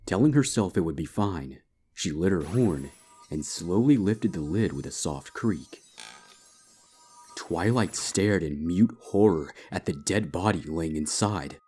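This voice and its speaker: A man giving a dramatic scripted narration of a dark fantasy story. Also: deep voice, breathy edge. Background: background music.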